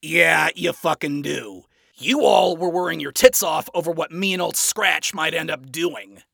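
A brash, scornful man as a male narrator acts him out in a story reading. His voice is raised and forceful with some gravel in it, and he speaks in a blunt, biting, sarcastic way, swearing freely. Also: on the high side, a little slow, no room sound, studio quality, voice pushed up, exaggerated voice. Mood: scathing, sarcastic. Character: brash, scornful, foul-mouthed man ranting at his enemy.

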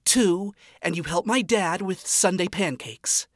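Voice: medium pitch, unhurried, dry recording, studio quality, voice pushed up, close to an everyday voice. A reader voicing an earnest, upbeat young demon princess who speaks in an eager, sincere way. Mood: playful.

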